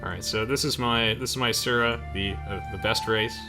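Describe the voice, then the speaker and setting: A man's voice: medium pitch, steady tone, brisk. A young man hosting a let's play with casual, chatty and playful game commentary. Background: game music.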